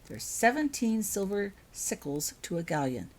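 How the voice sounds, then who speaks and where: A woman's voice: mid-range voice, very animated, normal speed. A woman voicing a friendly wizard father who explains things kindly in a storybook reading. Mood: patient, explaining. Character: friendly, helpful wizard father guiding a child.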